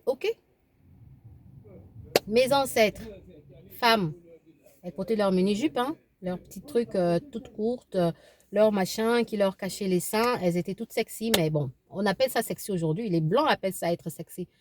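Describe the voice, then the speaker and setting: A woman's voice: mid-range voice, normal speed. Chatty woman vlogging from her car. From eastern Congo (DRC). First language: Kibembe. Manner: animated, repeats herself, frequent fillers and restarts. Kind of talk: casual opinion vlog. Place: inside a parked car.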